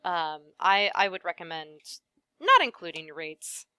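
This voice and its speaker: A woman voice actress, a guest in a casual interview, giving thoughtful advice and often trailing off softly at the ends of her points. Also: lowish voice, big pitch swings, measured pace, smooth, dry recording.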